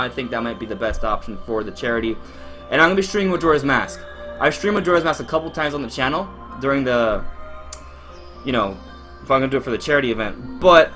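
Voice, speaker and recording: A man's voice: mid-range voice, a bit bright. A man in his twenties from Miami hosting a live Q&A stream, rambling casually with lots of fillers and restarts as he talks to his chat. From Miami, Florida, USA. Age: 20s. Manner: rambling and chatty, many fillers and restarts, addresses chat directly.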